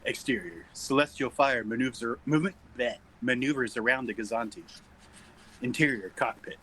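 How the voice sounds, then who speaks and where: Man, bright tone, medium pitch, script narration, informal, self-correcting. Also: normal speed, low-quality recording. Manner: stumbles over words and corrects himself. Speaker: narrator reading stage directions.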